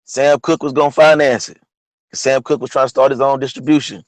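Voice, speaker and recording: A man with a partly lost, hoarse voice, talking casually about history as host of an online show, often asking "you know what I'm saying?". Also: mid-range voice, steady tone, brisk, no echo. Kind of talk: casual history talk monologue.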